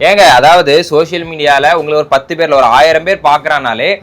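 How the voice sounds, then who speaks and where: A man's voice: on the high side, brisk, a bit bright. A man from giving casual political commentary, arguing and mocking with rhetorical questions. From Tamil Nadu, India.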